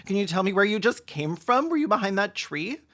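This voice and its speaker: A medium-pitched commentator delivers sarcastic film commentary. The manner is campy and mocking, with dry asides played for comedy. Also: big pitch swings, a little fast, a bit bright. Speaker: video essayist, film commentator.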